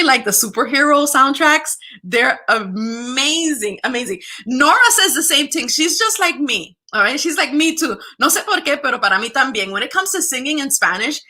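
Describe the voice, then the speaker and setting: A woman's voice: a little high, bright, forceful tone. A middle-aged woman chatting casually with her livestream viewers as host, bubbly and greeting them by name.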